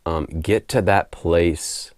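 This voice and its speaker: A man with a very low voice, roughened and hoarse from a recent cold, speaks in a loose, unscripted monologue at home. He drifts through his thoughts, repeating words and chuckling softly. The sound is dry and close, with no room echo. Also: takes it slowly. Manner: relaxed, rambling, repeats words while thinking, laughs softly. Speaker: spiritual author and video host. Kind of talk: casual unscripted monologue to camera.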